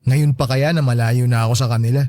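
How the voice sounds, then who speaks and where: A man's voice: medium pitch, steady tone, quick pace, dark tone, no echo, clean studio sound, lightly acted. A man with a Filipino accent playing a young working man, a hurt but resilient son, talking casually in a radio drama. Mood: hurt, resigned. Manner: casual, conversational. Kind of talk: Tagalog radio drama dialogue.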